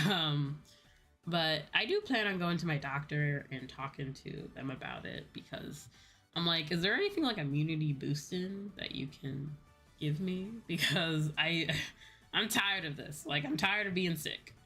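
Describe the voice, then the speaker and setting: An American woman livestreamer rambling casually to her viewers in an animated storytelling way, acting out bits of dialogue. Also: low-pitched, measured pace, slightly rough. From United States. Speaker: VTuber livestreamer. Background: background music.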